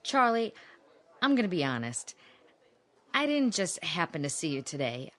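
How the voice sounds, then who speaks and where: An actress playing a worried, bossy sister in a radio drama, speaking frankly and insistently with strong emphasis. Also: female voice, fairly low pitch, very animated, normal speed, a bit bright. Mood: blunt, worried. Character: blunt, pushy, worried sister of a shy man. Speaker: actor in a radio play.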